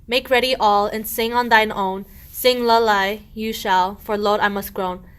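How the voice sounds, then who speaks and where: Woman playing a sharp-tongued cunning wife. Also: mid-range voice, fairly even intonation, normal speed, clear, resonant tone, lightly acted. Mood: scheming. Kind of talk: verse radio play acting of a medieval comedy.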